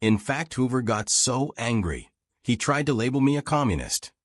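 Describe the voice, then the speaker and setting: A man's voice: mid-range voice, sing-song, moderate pace, dry recording, clean studio sound. A man voicing a wry old American newsman, reminiscing. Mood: wry, frank. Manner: reminiscing, folksy, self-deprecating. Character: old American war reporter, frank, wry, thrice married. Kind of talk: audiobook character dialogue.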